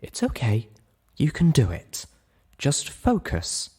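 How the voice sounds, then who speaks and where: Man as refined mare, caring yet forceful, animated pitch, audiobook fantasy character. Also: takes it slowly, slightly rough, full character voice. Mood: gentle, encouraging. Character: adult female unicorn, refined, caring, forceful.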